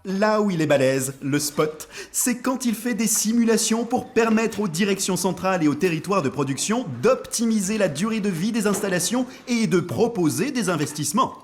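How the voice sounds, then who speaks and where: A man voicing a friendly, reassuring machine buddy who explains things in a chummy, animated way in an educational cartoon. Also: high-pitched, normal speed, few pauses, slight background noise. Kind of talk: scripted educational cartoon dialogue.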